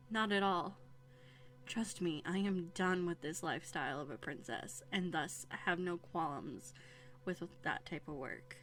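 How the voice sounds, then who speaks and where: A woman playing a haughty, wary young runaway princess in a scripted audio roleplay, speaking in prim, formal phrases with dramatic hesitations. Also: lowish voice. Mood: earnest. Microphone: close USB studio microphone.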